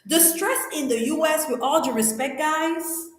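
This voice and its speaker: A middle-aged woman from Cameroon whose first language is French hosts a casual live Q&A. She talks in an animated, emphatic way with slangy exclamations, and her voice sits a little high. The sound is heavily echoey, as if she is in a bare room. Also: measured pace. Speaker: livestream host answering viewer questions.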